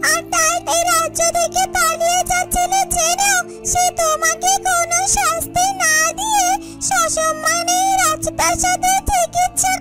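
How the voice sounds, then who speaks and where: A woman voicing a gentle, loyal yellow bird who gives earnest, reassuring advice in a children's fairy-tale cartoon. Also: very high-pitched. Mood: earnest advising. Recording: music under the voice.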